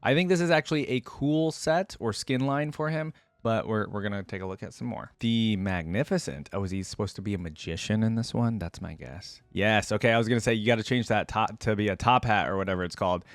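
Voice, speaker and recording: A man giving casual reaction commentary as a video host, informal and thinking out loud as he goes. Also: lowish voice, a little fast, few pauses, no room sound.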